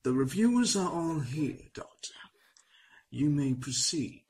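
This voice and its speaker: An actor playing a stern, controlling senior reviewer with a raspy, breathy voice in a horror audio drama. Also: male voice, a little high, expressive, a little slow, darkish tone, full character voice. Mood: stern, commanding.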